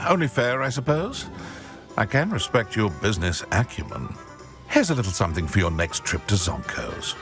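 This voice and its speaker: An actor playing a scheming, smug wizard shopkeeper in a video game, speaking in a sly, pompous, theatrical way. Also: male voice, fairly low pitch, very animated, normal speed, music in the background. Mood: grudging. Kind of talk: video game character acting.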